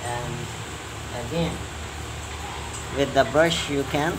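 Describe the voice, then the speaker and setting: A man giving a step-by-step hands-on repair tutorial as a technician, in halting, repetitive short phrases. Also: medium pitch. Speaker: repair technician and channel host. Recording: clear steady hum of room noise.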